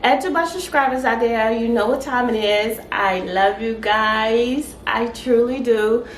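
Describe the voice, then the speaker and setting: A woman hosting a casual home cooking vlog, her voice stuffy and congested, chatting in an unhurried way with lots of fillers. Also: a little high. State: stuffy, congested voice after a sore throat. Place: home kitchen.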